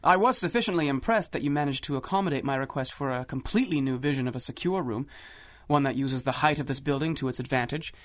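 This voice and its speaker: An actor playing a confident, condescending young millionaire inventor, suave and grandiose, in a 1930s-style radio drama. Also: male voice, mid-range voice, fast talker, lightly acted.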